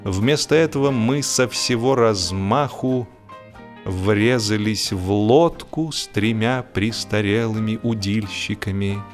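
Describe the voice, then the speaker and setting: A man narrating a humorous literary story in a theatrical, mock-lofty manner, with expressive phrasing and deliberate pauses. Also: fairly low pitch. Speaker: audiobook narrator. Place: studio. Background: music.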